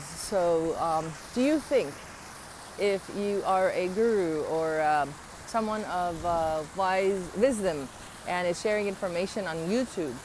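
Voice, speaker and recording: A woman's voice: fairly low pitch, background noise. A woman with a slight foreign accent, talking to her viewers in a casual, earnest opinion vlog with many ums and rising, emphatic questions. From abroad, moved to the United States at twelve. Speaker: vlogger.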